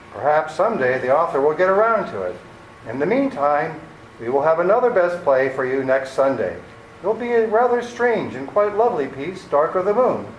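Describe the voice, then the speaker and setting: Casting: male actor as polished old-time radio host and critic, animated pitch, roomy sound with faint hiss. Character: urbane drama critic hosting a 1950s radio series.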